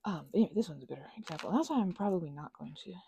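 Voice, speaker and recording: A woman rambling playfully in casual ASMR craft chat, scatterbrained and often interrupting herself, in a husky, breathy voice that often drops toward a whisper. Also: fairly low pitch, animated, racing, warm tone, a little hiss or hum. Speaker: ASMR creator.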